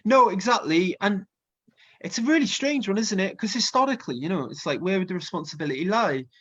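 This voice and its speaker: A Welsh man with a slight cold talks in a loose, rambling way, full of ums and asides. His voice sits on the higher side for a man. The sound is dull and muffled, like lo-fi call audio. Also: animated, a little fast, fairly bright tone. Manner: chatty, rambling with many fillers. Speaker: festival co-founder and music booker. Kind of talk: casual interview chat.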